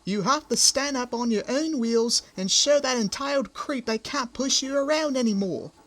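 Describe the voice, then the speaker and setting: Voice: high voice, normal speed, a bit bright. An actor playing a feisty, indignant little railway engine in a fan comic dub.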